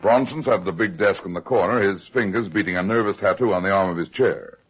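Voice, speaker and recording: A muffled old radio recording of a heavyset private detective. His voice is low and husky, and he talks in a dry, laconic, world-weary way, unhurried and skeptical. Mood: matter-of-fact. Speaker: detective narrator. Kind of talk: old-time radio detective drama acting.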